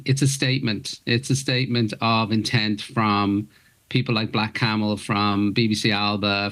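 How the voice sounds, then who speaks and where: Earnest Irish man, halting restarts. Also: fairly low pitch, fairly bright tone. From Ireland. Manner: hesitant, many restarts, earnest. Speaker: actor. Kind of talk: radio interview guest.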